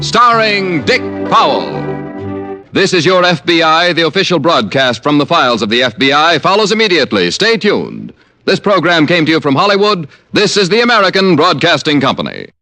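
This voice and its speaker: Bright lively 1950s radio announcer man. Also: fairly high pitch. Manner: lively, emphatic announcer delivery.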